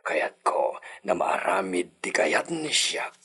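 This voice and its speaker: A man acting as an ageing Filipino hacienda master in a radio drama, grave and deliberate as he speaks. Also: low voice, very animated, sharp tone, husky, heavily acted. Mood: solemn.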